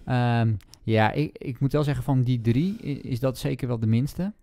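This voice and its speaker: A man's voice: mid-range voice, normal speed, mellow. A man co-hosting a casual book discussion, thinking aloud in a tentative, mildly critical way. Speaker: book podcast host.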